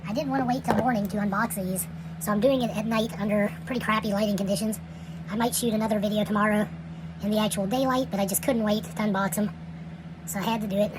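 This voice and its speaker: A woman giving casual unboxing commentary as a toy reviewer, relaxed and chatty, with plenty of fillers and trailing phrases, recorded indoors at night. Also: mid-range voice, quick pace. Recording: home recording with steady background noise.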